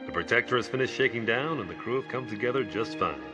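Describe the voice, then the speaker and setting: A man's voice: medium pitch, lively intonation, quick pace, highs cut off, close to an everyday voice. An actor playing a formal, haughty man of rank in an audio drama. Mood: formal, composed. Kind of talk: audio drama acting in a trailer. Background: music.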